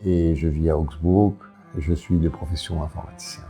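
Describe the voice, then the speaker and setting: A man of sixty-two from Paris, presenting himself as an election candidate in an interview, speaking in a halting, deliberate way. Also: rumbling low, steady tone, warm tone, soft background music. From Paris, France. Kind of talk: interview answers, self-presentation.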